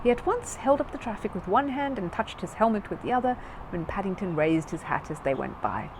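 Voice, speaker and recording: A woman narrating a children's storybook in an expressive, scripted storytelling style. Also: mid-range voice, fairly quick, noisy background. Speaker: storyteller reading a children's book aloud.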